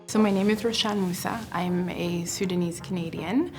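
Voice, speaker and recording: A woman from West Africa with a low, steady voice, recalling her path into fighting sports in an unhurried way. Soft music runs faintly underneath. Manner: thoughtful, measured storytelling with pauses. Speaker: interviewee, combat sports athlete. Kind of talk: documentary interview.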